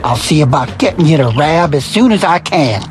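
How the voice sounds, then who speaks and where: An actor playing a gruff, cocky frontier militiaman in a video game, talking in folksy, salty slang. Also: fairly high pitch, animated, moderate pace, loud music behind the voice, close to an everyday voice. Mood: hearty, blunt.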